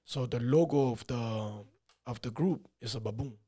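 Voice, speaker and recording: A man's voice: mid-range voice, moderate pace, mellow, breathy edge. A man in his thirties, born in Peru, telling his life story in a loose, rambling way on a podcast, dropping "you know" and "man" often. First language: Spanish. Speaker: podcast guest, fighter. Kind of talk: casual storytelling interview.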